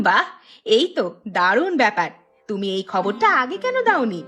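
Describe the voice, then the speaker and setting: A woman's voice: on the high side, big pitch swings, a little slow, fairly bright tone, faint music behind. A woman playing a teasing, doubting village wife in a cartoon, with a coaxing way of talking. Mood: teasing, curious. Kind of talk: Bengali cartoon dialogue acting.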